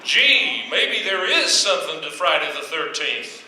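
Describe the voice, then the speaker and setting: A man's voice: sits high, very animated, unhurried. A man playing a dim, eager, gawky teenage schoolboy with naive exclamations in old-time radio comedy style. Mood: dopey, earnest. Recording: echoey hall with faint noise.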